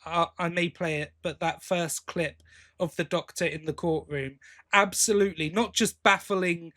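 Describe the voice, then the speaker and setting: Man, higher-pitched voice, halting podcast chat. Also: steady tone, pushed and bright. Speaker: podcast co-host. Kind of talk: casual review chat.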